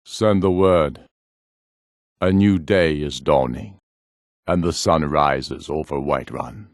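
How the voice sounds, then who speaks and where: An actor playing a proud, commanding middle-aged rebel jarl in a fantasy video game, speaking in solemn, imperious, measured oratory. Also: male voice, a bass voice, no room sound, studio quality, exaggerated voice. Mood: fervent, defiant.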